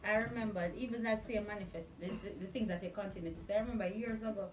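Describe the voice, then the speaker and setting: A woman's voice: mid-range voice, fairly even intonation, quick pace, far from the mic, a little hiss or hum. A woman with a Caribbean accent chatting casually, with emphatic interjections and affirmations. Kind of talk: casual chat. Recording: roomy echo off mic, faint music. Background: faint music.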